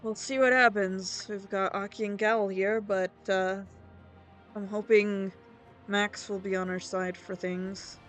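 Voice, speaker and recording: Woman voicing protective older sister, mid-pitched. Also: flat delivery, a little slow, a bit bright, faint music behind, natural voice. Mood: concerned, thoughtful. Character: fiercely protective, determined older sister with ice powers. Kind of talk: amateur Minecraft roleplay voice acting.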